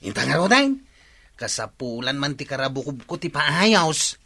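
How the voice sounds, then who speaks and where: A man's voice: on the high side, very animated, normal speed, bright, forceful tone, full character voice. Piercing, stubborn hard-drinking man. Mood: carefree. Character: stubborn hard-drinking bachelor tricycle driver. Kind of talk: Ilocano radio drama acting.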